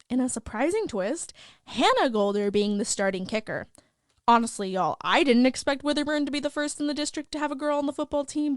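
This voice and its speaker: Woman playing a gossipy school news girl, chatty n sardonic, highish voice, super dry close recording. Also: clear, resonant tone, no echo, lightly acted. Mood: chatty, upbeat. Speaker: host of a school news show. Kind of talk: scripted news podcast narration.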